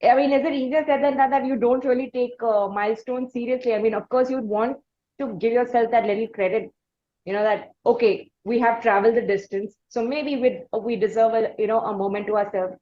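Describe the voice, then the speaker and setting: A woman with an Indian accent hosting a conversational interview, asking long, halting questions. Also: on the high side, steady tone, muffled recording. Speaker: interviewer and podcast host.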